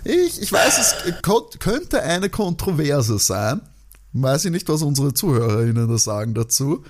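A man's voice: fairly high pitch, big pitch swings, a little slow. A man with an Austrian accent co-hosting a podcast in casual, joking and argumentative banter. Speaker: podcast co-host.

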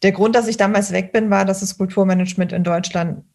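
Gravelly-voiced woman chatting freely. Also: mid-range voice, fairly even intonation, fast talker, a little mellow. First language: German. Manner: chatty, many fillers and restarts. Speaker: interview guest. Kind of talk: casual conversational interview.

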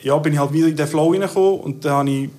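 A man's voice: on the high side, rapid-fire, a little mellow. A man with a Swiss German accent whose first language is Italian, chatting easily as a rapper in an interview. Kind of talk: casual interview chat.

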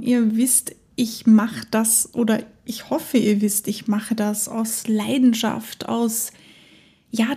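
A woman's voice: a little high, warm tone, slightly rough. A woman hosting her own podcast, talking alone in a chatty, enthusiastic way and laughing often. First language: German. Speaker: podcast host. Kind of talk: casual solo podcast monologue.

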